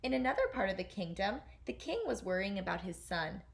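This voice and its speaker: A woman teacher reading a children's fairy tale aloud in an expressive storytelling style. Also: medium pitch, fairly quick, creaky.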